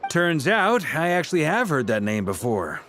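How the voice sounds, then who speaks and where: A man's voice: on the high side, big pitch swings, moderate pace, a bit bright, a little hiss or hum. A man playing a jovial, easygoing veteran knight commander with a folksy, teasing manner in a video game. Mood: amused. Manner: folksy, jocular. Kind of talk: scripted video game dialogue.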